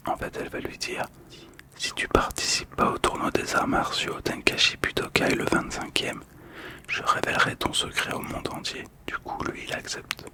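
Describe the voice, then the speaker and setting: Whispering man, soft ASMR storytelling. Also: normal speed. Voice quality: whispered, breathy. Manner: soft, calm, hesitant. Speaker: host of a relaxation video.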